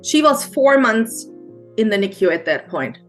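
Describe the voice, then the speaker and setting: A woman's voice: fairly high pitch, big pitch swings, soft background music. German-accented woman telling a hard story. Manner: halting, restarts often, earnest and heartfelt. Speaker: guest, mindset coach. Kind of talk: emotional personal storytelling interview.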